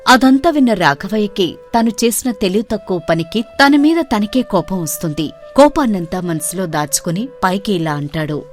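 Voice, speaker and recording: A woman narrating a moral story in a steady storytelling manner. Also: medium pitch, moderate pace, few pauses, faint music behind.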